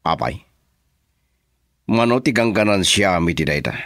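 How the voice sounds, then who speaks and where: A man acting a shrewd Ilocano boss in a radio drama. His low voice swoops widely and stretches out phrases as he deals. The sound is dry. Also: animated, unhurried, a bit bright, no echo, full character voice. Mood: brooding, scheming.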